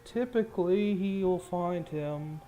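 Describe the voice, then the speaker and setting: Guy doing a town clerk for a fantasy game, slow and thoughtful, kinda soft mellow voice. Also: high voice, faint music behind, voice pushed up. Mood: helpful, measured. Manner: slow, deliberate, pondering. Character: unhurried, hesitant male town trade official.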